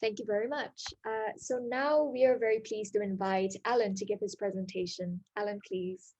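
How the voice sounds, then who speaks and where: A woman's voice: mid-range voice, fairly even intonation, normal speed, darkish tone. Woman moderating a panel politely. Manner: polite, formal announcements. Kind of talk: scripted event moderation.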